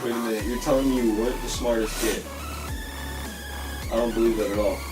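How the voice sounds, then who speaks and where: A man's voice: mid-range voice, fairly even intonation, a little fast, lightly acted. Man as a casual, offhand skit host, mid voice, roomy distant take with music. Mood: chatty. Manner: casual, offhand questions with small restarts. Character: chirpy male talk show host. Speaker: talk show host in a skit. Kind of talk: amateur talk-show skit.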